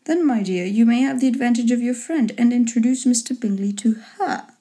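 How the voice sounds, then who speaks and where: A woman's voice: a little high, expressive, mellow, close to an everyday voice. Woman playing a wry, unruffled storybook father. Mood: wry teasing. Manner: wry, measured, mock-formal. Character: dry, teasing, unruffled father of grown daughters. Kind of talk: storybook character reading.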